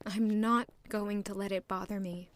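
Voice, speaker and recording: An actress playing an earnest young maid who narrates her own story, polite and soft-spoken. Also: mid-range voice, steady tone, moderate pace, close to an everyday voice. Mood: reflective. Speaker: maid and first-person narrator. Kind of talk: audio drama acting and narration.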